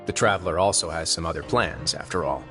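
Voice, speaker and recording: An actor playing a composed, shrewd male prison warden in a video game, speaking in a measured, dryly amused way. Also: low voice, over loud music, close to an everyday voice.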